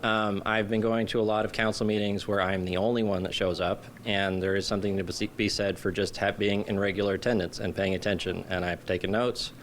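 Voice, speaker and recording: A man with a lowish, flat voice speaks plainly and steadily. He sounds measured, with faint noise in the background. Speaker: city council candidate. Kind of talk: news interview soundbite.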